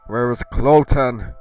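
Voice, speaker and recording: A man playing a troubled, earnest adventurer hero in a game roleplay drama, musing aloud in a halting, theatrical way. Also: mid-range voice, a little mellow, clear, resonant tone. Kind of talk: dramatic Minecraft roleplay acting.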